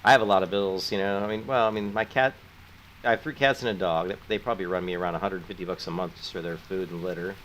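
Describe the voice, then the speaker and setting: A middle-aged man with a sore throat chats casually with viewers as he streams a game. His voice sits low and deep. He rambles in a friendly way and keeps thanking people. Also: steady tone, fast, fairly bright tone, slight background noise, rough recording. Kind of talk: casual chat while gaming.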